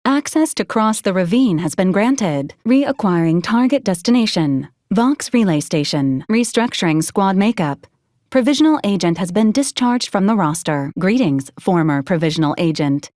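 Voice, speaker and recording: A female robot companion from a video game, acted by a woman, with a medium-pitched voice in a very dry recording. The delivery is formal and deadpan, each phrase clipped and clinically detached, yet always courteous. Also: dry recording, close to an everyday voice. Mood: cool, advisory. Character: polite, deadpan female robot companion unit assisting a commander. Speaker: robotic assistant. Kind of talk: scripted video game character lines.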